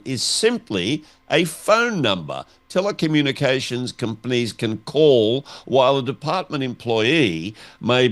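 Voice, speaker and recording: A man's voice: medium pitch, fairly bright tone. An Australian man hosting a casual talk show, asking questions and reading out news items. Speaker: show host.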